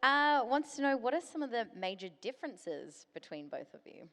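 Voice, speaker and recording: Woman interviewer, smooth mid voice, upbeat, teasing, hall reverb. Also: fairly high pitch, very animated, normal speed, a bit bright. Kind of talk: on-stage interview. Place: convention stage, large hall.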